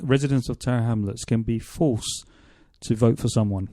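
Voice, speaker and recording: A man hosting a radio interview in a studio, asking long, halting questions full of restarts. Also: mid-range voice, warm tone, no echo. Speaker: radio show host.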